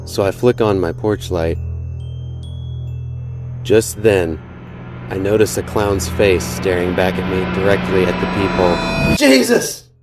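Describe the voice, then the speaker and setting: Man, low voice, scary story narration, dramatic and suspenseful, faint music behind. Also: little pitch movement, measured pace, long pauses. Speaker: podcast host and narrator. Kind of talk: first-person horror story narration.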